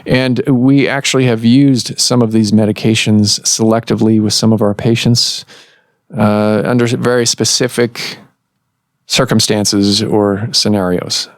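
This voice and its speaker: A man hosting a medical podcast as a doctor, explaining in a measured way with some fillers, recorded in a clinic office. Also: lowish voice, no echo, studio quality.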